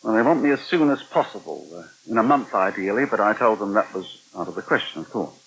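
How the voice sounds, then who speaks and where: Husky, bright-toned British man, hesitant. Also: on the low side, animated, fast, full character voice, poor audio. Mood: tentative. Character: polite, hesitant but determined male magazine journalist. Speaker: actor in a radio soap. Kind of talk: 1980 British radio soap acting.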